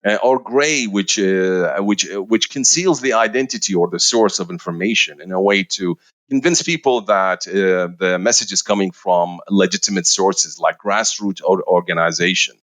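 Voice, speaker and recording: Hesitant low-voiced man from Iraq. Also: moderate pace, a bit bright. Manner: hesitant, many uh fillers and restarts. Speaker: researcher guest. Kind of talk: interview answers.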